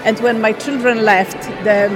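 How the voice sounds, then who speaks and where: A woman from Poland explains her traditional crafts with slow, careful storytelling. Her voice sits somewhat high. Music plays clearly behind her in a busy exhibition space. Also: steady tone, crowd noise, rough recording. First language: Polish. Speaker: craft business owner. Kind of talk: radio interview at an exhibition.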